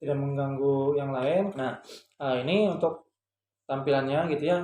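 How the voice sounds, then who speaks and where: Mellow man in an echoey room. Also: medium pitch, moderate pace. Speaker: vlogger and parent showing a product. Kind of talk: casual explanatory vlog chat. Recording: echoey room with faint background noise.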